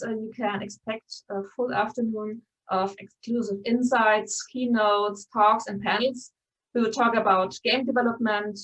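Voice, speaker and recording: A woman's voice: fairly high pitch, a bit bright, poor audio. A woman with a Bavarian German accent hosting an online conference, speaking English with frequent ums and uhs. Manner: many ums and uhs, restarts, friendly. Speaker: conference host. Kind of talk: welcome and moderation of an online conference.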